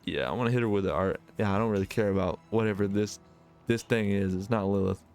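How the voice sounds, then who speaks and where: Low-voiced man playing a visual novel. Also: quick pace, a little mellow. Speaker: gaming video host. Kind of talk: visual novel let's play, narration read aloud with casual asides.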